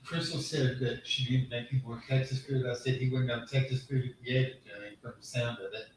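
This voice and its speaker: A man's voice: mid-range voice, steady tone, brisk, a little hiss or hum. Distant dry-witted man, off-mic. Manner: dry, brief teasing remarks. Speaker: host's husband. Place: home kitchen, off-mic. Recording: distant voice in echoey kitchen.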